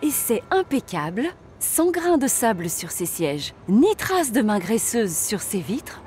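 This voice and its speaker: A woman's voice: mid-range voice, big pitch swings, measured pace, a little mellow, slight background noise. A woman narrating a scripted story for young children.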